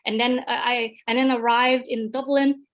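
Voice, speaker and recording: High-voiced Chinese woman telling a story. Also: normal speed, dull audio. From China. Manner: animated storytelling, many repeated starts. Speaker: alumni guest speaker. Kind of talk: online panel conversation. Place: home, over a video call.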